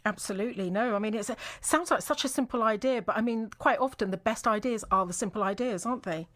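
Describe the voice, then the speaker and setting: A woman's voice: fairly high pitch, fast, fairly bright tone. A woman presenting and interviewing a guest on live radio.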